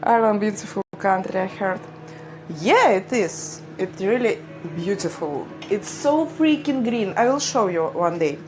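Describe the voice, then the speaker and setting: A woman's voice: medium pitch, lively intonation, measured pace, low-quality recording. A young woman with a Ukrainian accent chatting casually on a livestream, laughing often and speaking in slightly broken English. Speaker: livestream vlogger. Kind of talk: casual livestream chat reading viewer comments. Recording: music playing behind.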